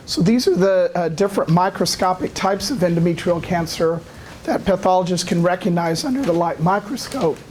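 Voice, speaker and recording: Hoarse male lecturer, halting delivery, lots of uhs, throat clearing, faint hiss. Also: high-pitched, darkish tone. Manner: halting, many filler sounds, clears throat often. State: hoarse from a cold. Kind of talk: academic lecture.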